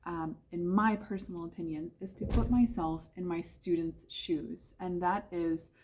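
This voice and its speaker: A woman's voice: fairly low pitch, fairly even intonation, unhurried, a little mellow, slightly rough. An American woman who teaches English, chatting casually about her life abroad and often correcting herself with fillers. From United States. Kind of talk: casual talking-head vlog.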